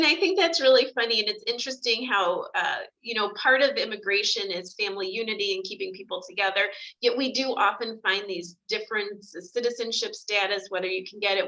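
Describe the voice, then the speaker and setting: A woman's voice: mid-range voice, sharp tone, highs cut off. A woman immigration attorney chatting on a video call, talking easily with frequent fillers. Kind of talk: video call panel discussion.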